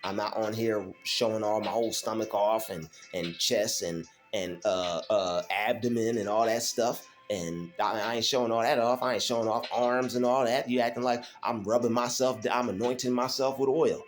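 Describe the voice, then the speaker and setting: A man's voice: lowish voice, steady tone, moderate pace, few pauses, faint music behind. A man hosting his own faith vlog alone at home, talking casually and emphatically, repeating phrases and using many fillers. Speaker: channel host.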